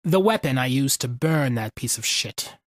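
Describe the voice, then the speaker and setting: A man's voice: mid-range voice, expressive, normal speed, no echo, clean studio sound, close to an everyday voice. Man voicing a sardonic battle-weary war veteran. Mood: weary, matter-of-fact.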